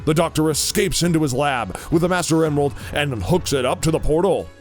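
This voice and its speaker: A man's voice: a little high, fairly quick. A man narrating a scripted audio drama story. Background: music.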